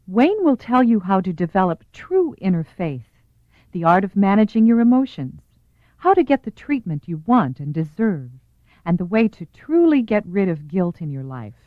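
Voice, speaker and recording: A woman's voice: mid-range voice, big pitch swings, moderate pace, dry recording. Woman narrator, tinny band-limited sound. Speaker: program announcer. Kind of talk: scripted promotional narration.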